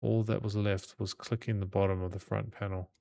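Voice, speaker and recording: A man reading a visual novel aloud as a gaming host, in measured storytelling with occasional stumbles. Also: lowish voice, flat delivery, gravelly, background noise. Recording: game music behind the voice.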